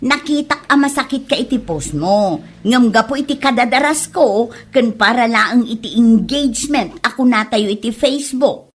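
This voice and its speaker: A woman's voice: on the high side, expressive, moderate pace, breathy edge. A woman acting a clueless, defensive content creator in an amateur comedy drama. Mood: defensive, puzzled. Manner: defensive, offhand.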